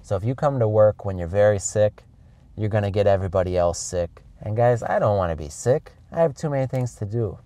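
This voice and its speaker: A man's voice: on the low side, lively intonation, a little fast, mellow. A middle-aged man with a cold and a congested voice, chatting casually to English learners and often calling them guys. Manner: chatty, keeps addressing viewers as guys. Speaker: English teacher vlogger. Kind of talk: casual explanatory vlog to learners.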